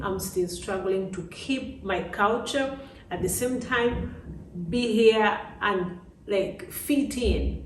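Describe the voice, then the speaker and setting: A woman's voice: mid-range voice, a little hiss or hum. A middle-aged woman with a Ugandan accent talks reflectively and directly to her viewers in a personal vlog, stressing points through repetition. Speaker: vlogger, host. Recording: echoey room, distant microphone.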